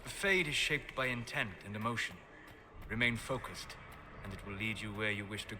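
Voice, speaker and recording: An actor playing a calm, scholarly mage in a video game, speaking with measured, precise formality. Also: male voice, fairly low pitch, background noise, lightly acted. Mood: fascinated, composed. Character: calm, scholarly, wonder-struck mage expert.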